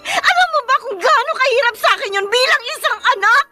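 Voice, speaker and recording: An actress playing an anguished, weary daughter with a Filipino accent in a melodramatic radio drama. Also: female voice, very high-pitched, expressive, fast, over music. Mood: bitter, fearful. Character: anguished, weary daughter ashamed of her father. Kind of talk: Tagalog radio drama acting.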